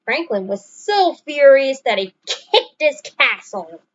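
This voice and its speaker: A woman's voice: high voice, very animated, slow pace. Woman reading a storybook, dull recording. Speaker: storybook reader. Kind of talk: children's read-aloud narration.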